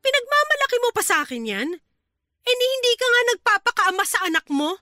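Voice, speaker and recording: A woman's voice: extremely high pitch, sing-song, quick pace, pushed and bright, dry recording, studio quality, soft background music, full character voice. A woman playing a distressed young mother standing up to an abusive partner in an emotional Tagalog radio drama. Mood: defiant. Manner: emotional, confrontational.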